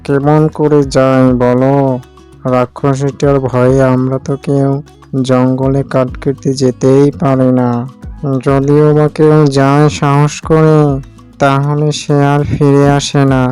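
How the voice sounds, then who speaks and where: A timid village woodcutter in a cartoon tale, voiced by a man. He talks slowly in a very clean, resonant voice, hardly varying in pitch. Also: little pitch movement, darkish tone, smooth, faint music behind, natural voice. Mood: fearful. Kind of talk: cartoon story dubbing.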